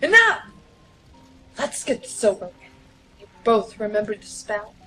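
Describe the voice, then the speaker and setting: A woman voicing an impatient young female pony villain in a dramatic story, giving forceful, threatening commands. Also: mid-range voice, big pitch swings, measured pace, faint music behind, exaggerated voice, low-quality recording. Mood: impatient, furious. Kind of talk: dramatic fan fiction audio reading.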